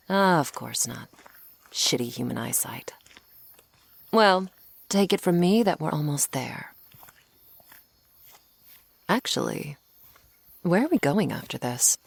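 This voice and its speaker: A woman playing a teasing, menacing century-old female vampire in an intimate ASMR roleplay, sardonic and unhurried, sometimes slipping toward a whisper. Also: low voice, vocal fry, full character voice. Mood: irritated.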